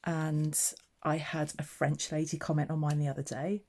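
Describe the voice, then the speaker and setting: Woman, low voice, mellow tone, chatty, talks through her card making. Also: flat delivery, normal speed. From southeast England. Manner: chatty, narrating her own hands-on work. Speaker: papercraft demonstrator. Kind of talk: casual craft tutorial chat.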